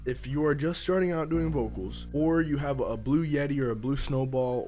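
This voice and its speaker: A young man with a naturally deep voice giving a casual, slangy tutorial on mixing vocals. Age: young adult. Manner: laid-back slangy teen talk, direct tips. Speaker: music producer teaching vocal mixing.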